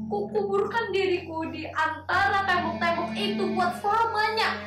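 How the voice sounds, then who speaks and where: A woman's voice: very high voice, steady tone, moderate pace. A woman playing a proud, defiant, grieving widow on stage, with declamatory, singsong delivery. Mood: mournful, bitter. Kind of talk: theatrical stage acting. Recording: echoey hall, far microphone, loud music. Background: loud music.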